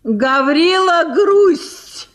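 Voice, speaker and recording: An actress playing a gracious, easily flattered general's wife in a radio play, speaking with coy, genteel politeness. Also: female voice, very high voice, extremely slow, full character voice. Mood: summoning. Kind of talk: radio play acting of classic comic story.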